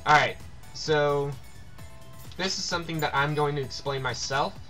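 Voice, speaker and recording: A man's voice: on the high side, normal speed, pushed and bright, soft background music. A man doing casual game commentary, reading on-screen text aloud and stumbling over words now and then.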